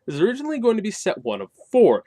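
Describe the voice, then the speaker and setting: A man talks through a review in a casual, off-the-cuff way, with a very lively, swooping pitch. He explains like a conversational host, slipping in wry asides. The sound is dry and close, with little room on it. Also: rapid-fire, no echo. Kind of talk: casual unscripted review commentary.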